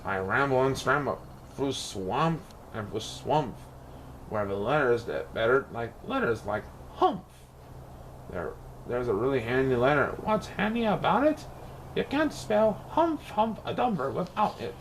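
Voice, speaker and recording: Male storybook reader, playful and theatrical, very lively pitch, faint noise in the recording. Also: a little slow. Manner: theatrical, playful rhyming delivery with exaggerated emphasis. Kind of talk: expressive children's picture-book read-aloud.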